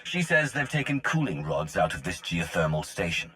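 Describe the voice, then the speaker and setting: An actor playing a stern, driven mercenary fighter in a video game, terse and commanding. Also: male voice, deep voice, sing-song, moderate pace, sharp tone, full character voice. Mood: businesslike, commanding. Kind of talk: video game cutscene acting.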